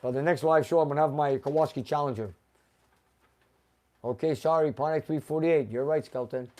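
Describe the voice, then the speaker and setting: Man hosting live car chat, mellow. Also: a little high, a little fast. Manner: reads out viewer names and comments, chatty. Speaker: host of a live car show. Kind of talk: casual live-stream chat reading viewer comments.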